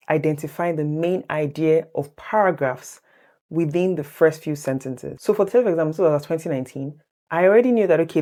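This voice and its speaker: Woman, 30s, low voice, mellow, casual vlog storytelling, animated, talks to viewers as guys. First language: English. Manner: animated, chatty, addresses viewers as guys, laughs easily. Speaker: vlogger sharing exam tips. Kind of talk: casual personal storytelling to camera.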